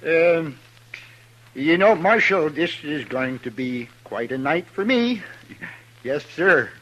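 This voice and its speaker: A man's voice: a little high, lively intonation, takes it slowly, a bit bright, muffled recording, slight background noise. An actor playing a sweet, peculiar, eager small-town handyman in an old-time radio western, earnest and deferential. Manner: deferential, earnest, repeats himself.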